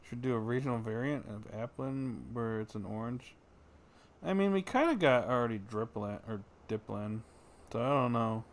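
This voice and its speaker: A man's voice: mid-range voice, animated, normal speed. A man livestreaming a game, musing aloud in casual, offhand commentary.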